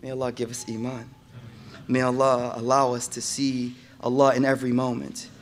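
A man giving a Friday sermon, moving from calm storytelling to loud, emphatic preaching and repeating phrases for emphasis. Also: mid-range voice, normal speed, a little hiss or hum. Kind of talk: impassioned sermon. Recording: echoey hall reverb.

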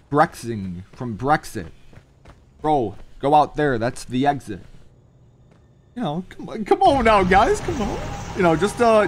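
Man rambling playfully over noisy game gunfire. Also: on the high side. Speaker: gaming streamer. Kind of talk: casual gameplay commentary with joking riffs. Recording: gunfire and game noise behind. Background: video game gunfire and ambience.

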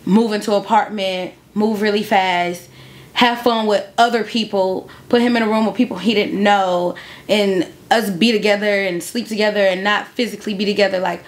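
A woman's voice: medium pitch, fairly even intonation, normal speed. A woman in her twenties telling a story casually as an interview guest, emphatic and repeating phrases for effect. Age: 20s.

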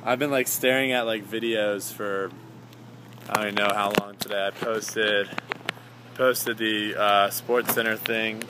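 A man's voice: fairly low pitch, steady tone, unhurried. Distracted young man vlogging over background noise. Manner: easily distracted, chatty, exclamatory. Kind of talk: casual rambling vlog to camera. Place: on the go, car then grocery store. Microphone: handheld camera he sets down. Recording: clear ambient and vehicle noise.

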